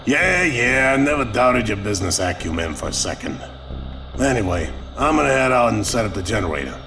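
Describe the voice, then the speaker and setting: An actor playing a busy, teasing private detective with a folksy, informal way of talking in an audio drama. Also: male voice, fairly low pitch, big pitch swings, brisk, music in the background. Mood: wry, businesslike. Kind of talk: naturalistic audio drama dialogue.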